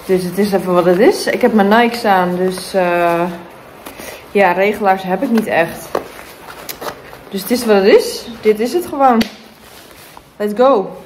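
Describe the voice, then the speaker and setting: A woman in her thirties talks into a phone held at arm's length, chatty and loose, with lots of filler sounds. Her voice sits at an ordinary middle pitch. Rain and passing traffic are clearly heard behind her. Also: unhurried, pauses often, a little mellow. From Netherlands. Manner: chatty, with many fillers and restarts. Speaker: vlogger. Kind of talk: casual vlog chat. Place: in a car, then outdoors in the rain.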